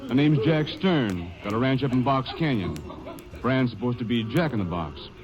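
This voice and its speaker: An actor playing a defensive rancher in a radio drama, talking in a colloquial drawl. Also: male voice, medium pitch, lively intonation, moderate pace, highs cut off, close to an everyday voice. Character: nervous, defensive male rancher.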